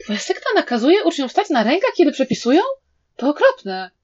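A playful, brash male cultivator from a fantasy storybook, acted out by a woman. He teases and exclaims with mock indignation, pitched a little up. The recording is slightly dull and lo-fi. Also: expressive, fairly quick, lightly acted. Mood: teasing.

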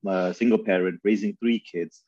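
A man from Pakistan, in his thirties, talking through his memories as an interview guest, thoughtful and halting with many fillers and restarts. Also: low-pitched, steady tone, dark tone, dry recording, dull audio. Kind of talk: reflective conversational storytelling.

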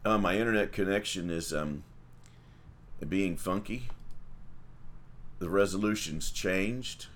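A priest speaks in a low, unhurried voice. He leads morning prayer on a livestream, chatting in a folksy way and greeting viewers by name.

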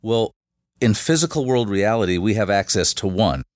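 A man with a very low voice talks as a guest on a podcast. He explains at length in a halting way, full of restarts and fillers. The sound is dry, with no room echo. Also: expressive. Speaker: guest, shamanic facilitator. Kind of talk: casual podcast interview.

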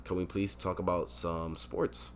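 A young man co-hosting a podcast, teasing and arguing loudly about sports in casual chat. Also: deep voice, a little slow, slight background noise. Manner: teasing, argumentative, gets loud and excited arguing sports. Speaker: podcast co-host.

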